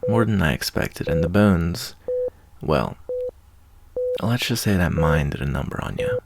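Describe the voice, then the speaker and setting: A man playing a caring, folksy wasteland doctor in an ASMR roleplay, talking in an unhurried, reassuring, slightly hesitant way. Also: sits low, expressive, vocal fry, heavy background noise, full character voice. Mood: casual, breaking news. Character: laid-back, fatherly wasteland doctor, gruff but caring adult man. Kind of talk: ASMR roleplay, softly acted monologue.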